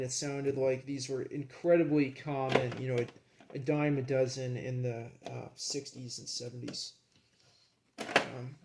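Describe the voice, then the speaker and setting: A man with a creaky voice talking casually and haltingly, half to himself, as he narrates a hands-on hobby task. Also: medium pitch, mellow, a little hiss or hum. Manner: halting, muttering to himself while handling parts. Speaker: hobbyist presenter. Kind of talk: casual hands-on vlog narration while working.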